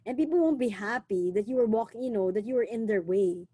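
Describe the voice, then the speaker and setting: Young Filipino woman telling stories in a mellow voice over a dry close mic, lively and chatty. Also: medium pitch, fast talker, husky, no room sound. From Philippines. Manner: lively, frequent "you know", mixes in Tagalog words. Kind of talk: casual conversation.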